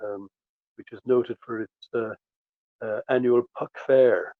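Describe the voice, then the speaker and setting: A man with a mid-pitched voice talks casually as an interviewee, halting and repeating words. The sound is narrow and phone-like, with little room in it. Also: steady tone, no room sound. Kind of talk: casual reminiscing. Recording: thin phone-like line.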